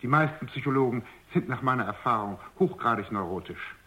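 An actor playing a skeptical, sardonic research scientist in a radio drama, wry and argumentative. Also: male voice, mid-range voice, lively intonation, a little fast, bright, forceful tone. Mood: wry, concerned. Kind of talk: German radio drama acting. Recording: muffled, dull radio drama recording.

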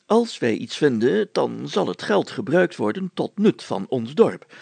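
A man voicing a self-important village mayor in a story, halting and hemming, given to solemn declaiming. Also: medium pitch, very animated, vocal fry, a little mellow, breathy edge, no room sound, heavily acted. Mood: assured, self-important. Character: pompous village mayor reading old documents aloud, self-important, deliberate.

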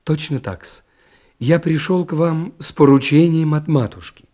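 Man as a shy, mellow teenage boy. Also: fairly high pitch, measured pace, voice pushed up, lightly acted. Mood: polite. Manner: hesitant, deferential. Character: shy, flustered sixteen-year-old boy, very polite.

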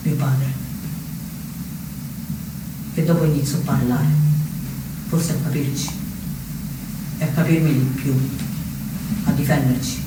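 A man acting a young anarchist recounting his fate in a theatrical stage monologue, with deliberate phrases and dramatic pauses. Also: mid-range voice, steady tone, lightly acted. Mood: grave, mournful. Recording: very echoey, distant stage recording with noise.

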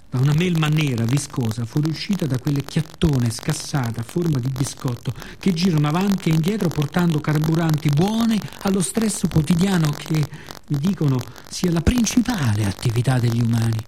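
A man playing the sea as a weary, bitter yet tender narrator in a theatrical radio monologue with deliberate repetitions. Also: on the high side, a little mellow, natural voice. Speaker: actor performing own monologue. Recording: clear background noise and ambience.

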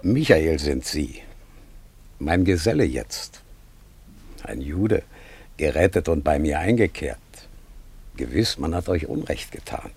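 An actor playing a hospitable yet self-justifying village master shoemaker in a radio drama, with a gravelly, husky voice. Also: male voice, very deep voice, lively intonation, slow pace, long pauses, a little mellow, soft background music, heavily acted. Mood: uneasy, justifying.

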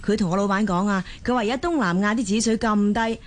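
A woman acts out a busy office woman and mother in a radio drama. She speaks colloquial Hong Kong Cantonese at a middling pitch, expressive and quick to exasperation. There is slight noise in the recording. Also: close to an everyday voice. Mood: exasperated.

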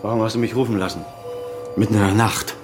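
A man's voice: fairly low pitch, fairly even intonation, fast, background noise, lightly acted. An actor playing a weary, defensive middle-aged doctor and father in a radio crime drama, curt and evasive. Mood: irritated.

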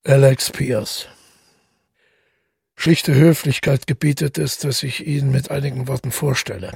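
An actor playing a withdrawn, middle-aged widower who tells his story in a radio drama, husky and gravelly, reflective and measured. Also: mid-range voice, fairly even intonation, a little mellow, dry recording, studio quality, exaggerated voice. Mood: dry, disdainful. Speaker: narrator and protagonist. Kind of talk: literary radio drama monologue.

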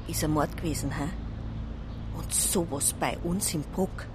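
A woman's voice: sits low, a little slow, noisy background. A woman playing a cheeky, nosy newsstand seller in a radio drama, teasing her customers in a Bavarian accent.

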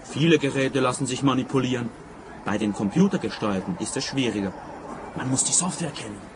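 An actor in a radio play playing a laconic, unambitious small-time machine tinkerer, terse and matter-of-fact. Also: male voice, medium pitch, expressive, a little fast, close to an everyday voice. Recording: clear outdoor background noise.

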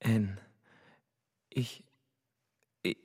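A man's voice: drawn-out words, a little mellow, no echo, studio quality. An actor playing a humiliated, volatile betrayed man in a German radio drama. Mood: faltering, subdued. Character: humiliated, furious betrayed man, pleading underneath.

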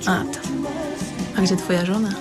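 A woman's voice: fairly low pitch, flat delivery, normal speed, over loud music, natural voice. An actress playing a forward, tipsy young woman in a radio drama. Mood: tipsy, teasing.

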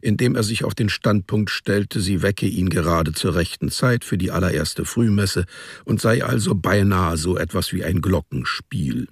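Male narrator, literary radio drama: precise, measured delivery, creaky and rough-edged, recorded close and dry. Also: low-pitched, no room sound.

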